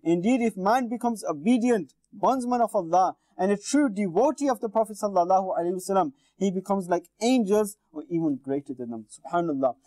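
A man in his thirties preaches earnestly to the camera, his voice sitting fairly high yet sounding mellow and warm. He urges his listeners directly, seated at a studio desk with a lapel mic. The sound is dry and close, with no room echo. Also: lively intonation, fairly quick, hardly any pauses. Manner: earnest exhortation, addresses viewers directly. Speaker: Islamic preacher. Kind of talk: sermon to camera. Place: indoor TV studio with painted backdrop.

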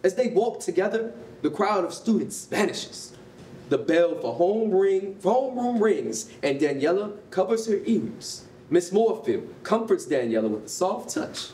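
A woman's voice: animated, measured pace, warm tone. A woman narrating a staged reading as scripted stage directions. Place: concrete rehearsal hall, live staged reading. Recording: roomy, distant mic, noisy rumble.

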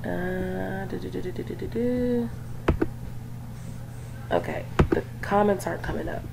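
A young woman, hoarse from being sick, chatting in a rambling, casual way with lots of fillers while talking over a screen recording. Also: on the low side, lively intonation, measured pace. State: hoarse from illness. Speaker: gaming YouTuber. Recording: faint background noise.

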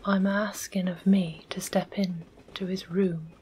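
A woman voicing a touchy working-class male railway porter in a soft whisper, sulky and full of dropped-letter dialect. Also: medium pitch, level tone, unhurried, a little hiss or hum, lightly acted. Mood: huffy.

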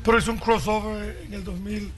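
A man's voice: high voice, steady tone, moderate pace. A middle-aged man from El Salvador, chatting casually as a chef guest in a TV studio. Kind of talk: casual chat. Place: TV studio sofa set.

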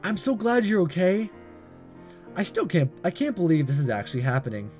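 A man reads as a tender teenage schoolgirl in a visual novel, pitched high and swooping with feeling. She is anxious and halting, openly in love. Music plays behind the voice. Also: sing-song, darkish tone. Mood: relieved, tearful worry. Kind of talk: visual novel voice-over read aloud.

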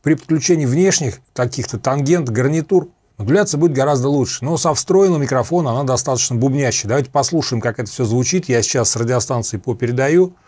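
A man's voice: mid-range voice, quick pace, few pauses, a little mellow, dry recording. Methodical middle-aged man explaining gear, dry sound. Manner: methodical, repeats and clarifies terms. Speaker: host reviewing radio equipment. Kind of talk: explanatory product demonstration. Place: small room at a computer desk, indoors.

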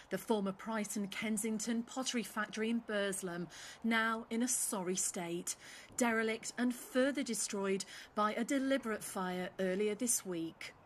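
A woman's voice: medium pitch, a little hiss or hum. A woman reporter narrating a scripted news report.

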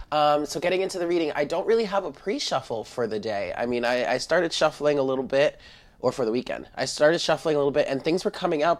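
A tarot reader speaks in a medium-pitched voice that sounds stuffed up with congestion. The delivery is informal and chatty, addressed straight to the viewers as a spiritual card reading is given at a table indoors.

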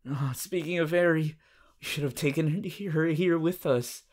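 A man playing a shy, awkward, lovestruck teenage anime boy hero in a halting, stammering romantic roleplay addressed to the listener. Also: on the high side, close to an everyday voice. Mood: tender, happy. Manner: halting, stammering, flustered, giggly.

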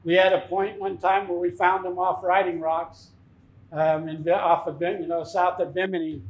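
Man, fifties, informal seminar speaker, plain-spoken, little pitch movement, roomy echo on a lapel mic. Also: little pitch movement, moderate pace. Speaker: fishing captain giving a seminar. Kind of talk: informal seminar talk with anecdotes. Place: tackle shop, indoors, speaking to an audience. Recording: echoey shop room.

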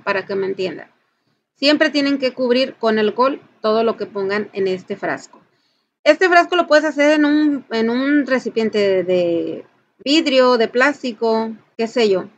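A woman with a Mexican accent and a fairly high voice explains things step by step in an informal tutorial style. She addresses the listener directly and stresses her instructions. The recording is somewhat dull and lo-fi. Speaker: folk ritual practitioner and channel host.

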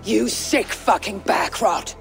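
Gravelly, grim Viking warrior. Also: female voice, medium pitch, slow, soft background music. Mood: angry. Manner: terse, blunt, grim. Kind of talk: dramatic video game acting.

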